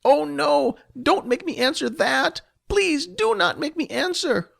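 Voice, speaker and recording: Man doing a squeaky, fearful little goblin girl. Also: lively intonation, unhurried, creaky, a bit bright, heavily acted. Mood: frightened. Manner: pleading, tearful, formal old-fashioned speech. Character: timid small female goblin servant, fearful. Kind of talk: audiobook character voice.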